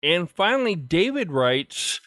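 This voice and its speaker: A man with a slightly high voice speaks as a podcast host. He addresses his listeners directly, in the manner of someone handling mail and announcements. The sound is dry, with very little room in it. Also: very animated, unhurried, fairly bright tone, dry recording.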